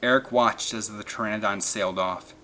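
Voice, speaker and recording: A man's voice: fairly low pitch, fairly even intonation, brisk, pushed and bright, a little hiss or hum. A man reading an adventure novel aloud as dramatic scripted narration. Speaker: audiobook narrator.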